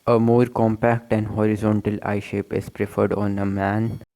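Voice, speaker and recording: A man's voice: fairly low pitch, little pitch movement, normal speed, dark tone. A man with an Indian accent giving blunt, matter-of-fact voice-over commentary. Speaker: video commentator.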